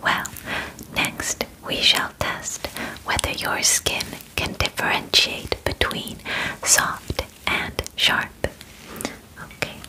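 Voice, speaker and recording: A female alien examiner acted out by a woman in her twenties speaks in a soft whisper, very slowly and deliberately. She is calm and clinical, giving formal instructions up close in the manner of an ASMR roleplay. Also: close to an everyday voice, poor audio. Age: 20s. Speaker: ASMR performer. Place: bedroom, indoors. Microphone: close microphone.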